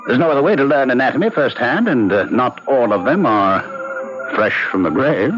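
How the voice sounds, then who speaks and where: An actor playing a charismatic, roguish young medical student in a radio drama, teasing, sly and theatrical. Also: male voice, mid-range voice, lively intonation, moderate pace, close to an everyday voice. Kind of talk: old-time radio drama acting. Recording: lo-fi old radio broadcast, music behind.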